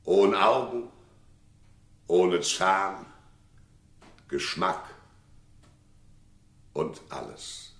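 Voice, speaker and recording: Pompous male stage declaimer, deep, very slow delivery, theatrical, echoing hall, radio drama. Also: animated, background noise, full character voice. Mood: grand, declamatory. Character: grandiose theatre man declaiming to an audience.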